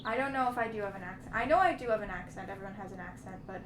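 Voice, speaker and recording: A woman's voice: medium pitch, racing. A young woman vlogger in easygoing casual chat, full of fillers. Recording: echoey room, mic at a distance, background noise.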